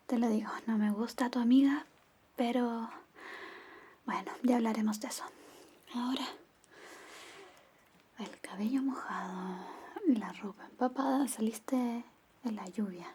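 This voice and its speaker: A woman playing a caring, protective young girlfriend in a soothing, gently firm whispered ASMR roleplay. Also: fairly high pitch, husky.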